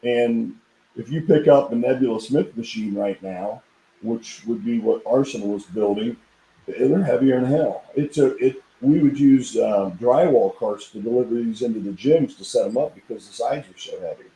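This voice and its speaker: Hoarse middle-aged man, very dark voice. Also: medium pitch, normal speed, echoey room, low-quality recording. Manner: rambling, storytelling. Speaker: podcast guest, equipment designer. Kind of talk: casual chat.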